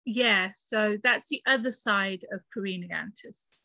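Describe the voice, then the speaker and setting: A woman's voice: medium pitch, a little slow, creaky, sharp tone, no echo. A British woman in her forties from the UK, chatting casually as an interview guest in her bedroom office, with lots of fillers and laughs. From United Kingdom. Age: 40s. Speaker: guest author and podcaster.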